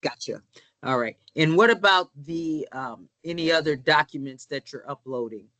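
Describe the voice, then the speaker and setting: A man trainee asking short, halting questions on a training call. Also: fairly high pitch, sharp tone.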